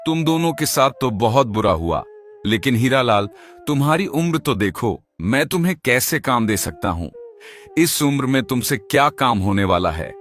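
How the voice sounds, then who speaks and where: Kindly, authoritative landowner played by a man for animated folk tale; blunt, medium-pitched. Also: normal speed, faint music behind, close to an everyday voice. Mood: doubtful, sympathetic. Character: blunt but kindly wealthy village landowner. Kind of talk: Hindi animated moral-story dialogue.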